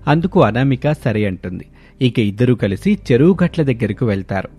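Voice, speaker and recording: Guy narrating a story, soft dark voice, bit husky, light music behind. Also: medium pitch, big pitch swings, a little fast.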